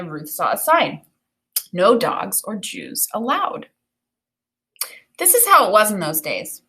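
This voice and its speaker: A woman teacher reading a children's book aloud, with expressive, deliberate phrasing and explanatory asides. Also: medium pitch. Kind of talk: read-aloud of a children's book with think-aloud commentary.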